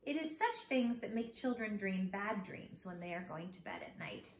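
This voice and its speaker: A woman reading the part of a proper, fretful father in a children's storybook, earnest and deliberate. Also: medium pitch, expressive, close to an everyday voice. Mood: worried. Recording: echoey room with background noise.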